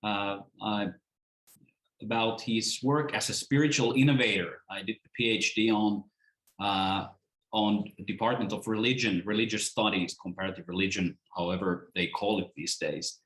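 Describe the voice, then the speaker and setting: Low-voiced Finnish man in a roomy space. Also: steady tone, moderate pace, a bit bright. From Finland. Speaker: guest speaker, philosopher and writer. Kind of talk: informal self-introduction. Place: indoors, on a video call. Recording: roomy, echoing video call.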